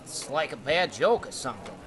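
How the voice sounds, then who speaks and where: A man's voice: medium pitch, big pitch swings, brisk, fairly bright tone. A man playing a deferential gangster henchman in an old-time radio drama. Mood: gossipy. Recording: music behind the voice.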